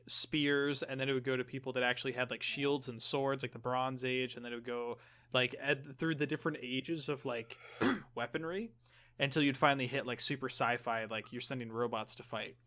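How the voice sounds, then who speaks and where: A man with a middling pitch talks as a podcast co-host. His delivery is casual and conversational, wandering through explanations as if among friends. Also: sharp tone, clean tone. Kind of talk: casual gaming chat.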